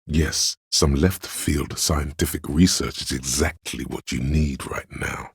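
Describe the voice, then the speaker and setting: An actor playing the grandiose, artsy inner voice of a detective's imagination in a video game, narrating in a dramatic, florid, deliberate way. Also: male voice, no room sound, clean studio sound, heavily acted. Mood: grandiose, enthused. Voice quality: gravelly, husky bass. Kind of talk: theatrical, literary game narration.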